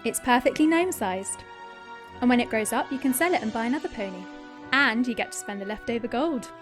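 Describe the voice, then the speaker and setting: A woman's voice: fairly high pitch, animated, fairly quick, over music, natural voice. A woman playing a blunt, foul-mouthed young princess turned monster hunter. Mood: kind. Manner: blunt and curt, swears freely. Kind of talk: fan audio drama acting.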